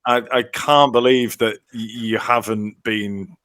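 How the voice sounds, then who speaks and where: A man's voice: medium pitch, steady tone, a little slow, a bit bright, clean tone. A man hosting a podcast in casual chat over a video call, gushing with stammering enthusiasm. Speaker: podcast host.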